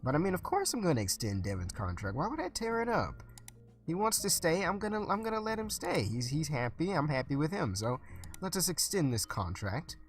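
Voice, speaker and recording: A man's voice: on the high side, huge pitch swings, fairly quick, soft background music. A young man with a stuffy voice casually commenting while playing a management game, thinking aloud with lots of fillers. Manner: chatty, thinks aloud with frequent uh and restarts. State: stuffy, recovering from illness. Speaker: let's play host.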